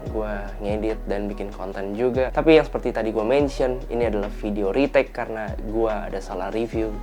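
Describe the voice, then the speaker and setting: A man's voice: lowish voice, a little fast, faint music behind. A young man hosting a casual tech review, his nose stuffed up and his voice tired from being run-down. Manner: relaxed, chatty, slang-heavy delivery.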